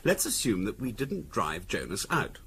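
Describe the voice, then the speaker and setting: An actor playing a calm, quietly insinuating middle-class husband in a 1970s radio drama, musing aloud in a deliberate, conversational way. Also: male voice, lowish voice, vocal fry, full character voice. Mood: musing, speculative. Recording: noisy 1970s radio recording.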